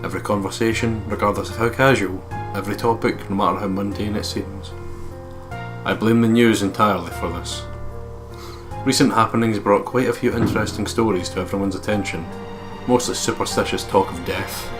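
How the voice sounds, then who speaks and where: A man reading a visual novel aloud in an even tone during a let's play, swearing loudly when startled. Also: on the low side, brisk, over music.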